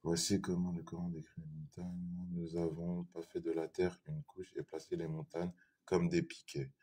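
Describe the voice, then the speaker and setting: A man's voice: low-pitched, little pitch movement, normal speed, warm tone, slightly rough. A man in a live online debate, defending his view hesitantly, repeating himself and reading verses aloud.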